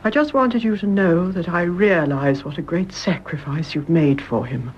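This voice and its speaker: A British actress playing a kindly, dignified older mother-in-law in a radio drama, measured and genteel. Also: fairly low pitch, lo-fi sound, a little hiss or hum, lightly acted. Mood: grave, sympathetic. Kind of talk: BBC radio drama acting.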